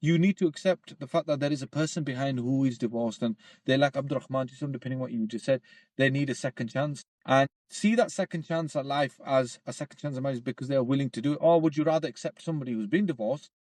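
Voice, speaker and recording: Man, podcast host, first language Urdu, quick and animated, dry close mic. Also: medium pitch, no echo. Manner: quick, animated, acts out quoted voices. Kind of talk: casual podcast discussion.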